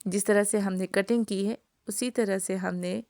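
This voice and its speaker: Hoarse lady doing a cooking voice-over, gravelly, dry close sound, friendly how-to tone. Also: medium pitch, steady tone, normal speed, darkish tone, dry recording. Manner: friendly instructional, addresses viewers directly. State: sore throat, clearing throat. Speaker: cooking channel host. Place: home kitchen, voice-over recorded separately.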